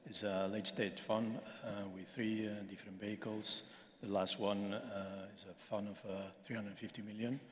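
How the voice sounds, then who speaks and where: A man introducing himself as a fund partner on a panel, halting with many fillers. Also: lowish voice, flat delivery. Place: large conference hall stage. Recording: long hall reverb.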